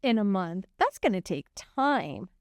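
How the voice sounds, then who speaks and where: An earnest, reflective woman in her forties co-hosts a podcast, speaking at an everyday middle pitch. She laughs easily while she talks. The sound is close and dry, with no room around her. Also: big pitch swings, measured pace, dry recording. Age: 40s. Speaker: podcast co-host. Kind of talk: casual conversation.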